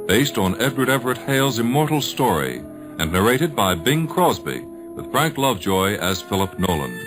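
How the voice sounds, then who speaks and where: Solemn American man, low 1950s radio narrator. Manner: solemn, formal, measured. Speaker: radio program host. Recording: vintage 1950s radio broadcast.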